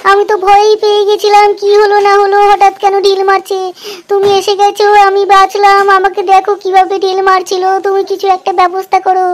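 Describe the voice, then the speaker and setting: A woman's voice: very high-pitched, monotone, a little fast, a little hiss or hum. A woman voicing a flustered, grumbling, melodramatic village mother in a comedy cartoon, with a whiny delivery. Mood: panicked.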